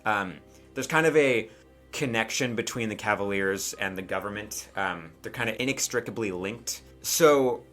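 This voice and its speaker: Man, low bright voice, game master, casual improvised narration, conversational check-ins, faint music underneath. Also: normal speed.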